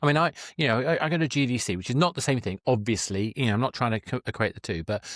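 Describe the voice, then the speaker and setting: A man's voice: mid-range voice, quick pace, fairly bright tone, no echo, clean studio sound. A man hosting a podcast interview, chatting casually with his guest. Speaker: podcast host.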